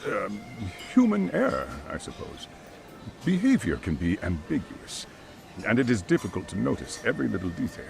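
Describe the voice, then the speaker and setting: An actor playing a cautious, burdened middle-aged school chairman in a video game dub, speaking in a measured, polite and hesitant way. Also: on the low side, wildly swooping pitch, gravelly, faint music behind, exaggerated voice. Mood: uneasy, hesitant.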